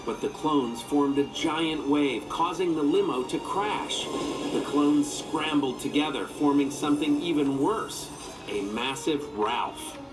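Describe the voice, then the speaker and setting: A man's voice: on the high side, takes it slowly, over music. A man reading a children's story aloud as its narrator.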